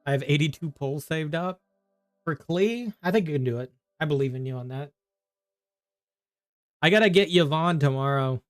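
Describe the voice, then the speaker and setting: A man's voice: on the high side, expressive, moderate pace, long pauses, clean tone, no room sound, clean studio sound, soft background music. A man chatting casually on a game livestream, rambling and thinking aloud as he plays. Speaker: game streamer. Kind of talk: casual livestream chat while gaming.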